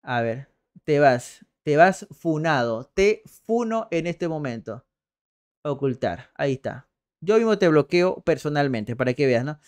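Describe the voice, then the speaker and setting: A man's voice: on the high side, very animated, a little slow, darkish tone. A young man, doing casual and sarcastic political commentary on a livestream, reading out poll figures and greeting viewers over a headset mic. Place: small room indoors.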